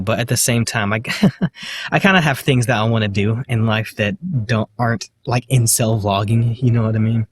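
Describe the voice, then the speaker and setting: A man with a slight lisp, talking to the camera in a halting, hesitant way, full of fillers and restarts. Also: mid-range voice, slight background noise. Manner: quiet, self-deprecating. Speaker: vlogger. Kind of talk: casual self-recorded monologue.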